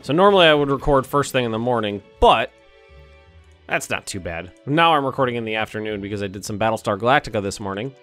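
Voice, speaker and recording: Slightly hoarse man muttering through gameplay. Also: medium pitch, expressive, fast, fairly bright tone, faint music behind. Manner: thinking aloud, muttering, mild cursing. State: a little hoarse. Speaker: let's play host. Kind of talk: casual gameplay commentary.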